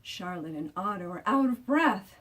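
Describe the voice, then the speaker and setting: A woman author reads her children's picture book aloud expressively and deliberately, drawing out descriptive words for emphasis. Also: mid-range voice, lively intonation, a bit bright, distant mic.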